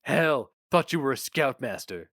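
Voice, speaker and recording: A man voicing a brash, officious office boss in his thirties, brisk and condescending. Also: mid-range voice, expressive, fairly bright tone, no echo, studio quality, voice pushed up. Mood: gruff, bored. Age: 30s.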